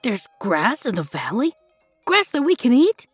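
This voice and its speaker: A woman's voice: on the high side, sing-song, normal speed, husky, no echo, soft background music, voice pushed up. A woman voicing a small, timid goat kid who whimpers questions in a children's story. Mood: weak, hopeful.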